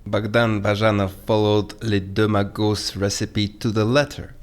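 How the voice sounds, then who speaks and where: A low-voiced, commanding plotter in an audio drama, played by a man. He is a formal, businesslike captor who gives orders in a matter-of-fact way. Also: little pitch movement, unhurried, natural voice. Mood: insistent.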